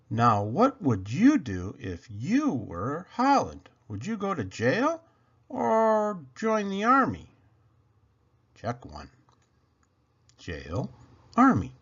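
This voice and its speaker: A man's voice: medium pitch, fairly bright tone, slightly rough. A man reading a children's picture book aloud expressively, with dramatic emphasis and questions put to the listeners. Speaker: storyteller reading picture books aloud, channel host. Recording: dull lo-fi sound.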